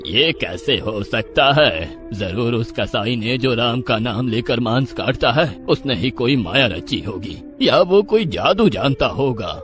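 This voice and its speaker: A man's voice: medium pitch, lively intonation, unhurried, few pauses, fairly bright tone. A man voicing an elderly village priest in a cartoon, exclaiming and scolding with pious alarm. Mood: suspicious. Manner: exclaims in shock, scolding and fervent. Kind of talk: cartoon voice acting. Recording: background music under voice.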